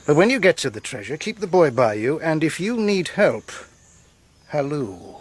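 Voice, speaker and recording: Husky, guarded gentleman doctor. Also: on the high side, sing-song, normal speed. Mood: guarded, urgent. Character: guarded, principled gentleman doctor giving counsel. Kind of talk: radio drama acting.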